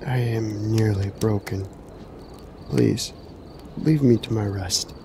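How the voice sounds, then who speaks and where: An actor playing a haunted, weary warrior in a fantasy radio drama, speaking gravely and haltingly. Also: male voice, fairly low pitch, background noise. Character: broken, haunted brave warrior, solemn and weary.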